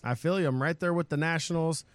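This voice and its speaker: A man hosting a gaming podcast in an upbeat, hype-building way, his voice hoarse and strained. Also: on the high side, fast, dry recording. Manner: energetic, hypes up the audience. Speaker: podcast host.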